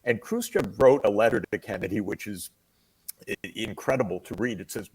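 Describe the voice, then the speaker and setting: A man explaining history at length in a measured, lecturing way, heard in a played clip. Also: on the low side, a little mellow, breathy edge, low-quality recording. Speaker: commentator in a played interview clip.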